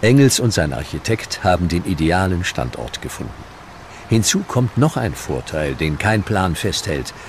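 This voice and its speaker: Very deep-voiced documentary narrator, male. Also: sing-song, normal speed, a little hiss or hum.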